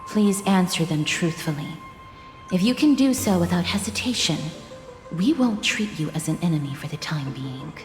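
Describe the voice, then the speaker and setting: A woman's voice: lowish voice, lively intonation, natural voice. Solemn, guarded woman in echoing hall, acted. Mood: stern. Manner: stern, formal, measured. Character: guarded, solemn young woman, wary and formal protector. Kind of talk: video game voice acting. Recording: reverberant hall echo. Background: music.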